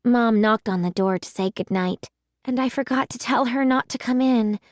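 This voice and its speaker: Female children's book narrator, scripted read-aloud, higher register, very dry recording. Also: moderate pace, darkish tone, no room sound. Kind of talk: scripted storybook narration in first person.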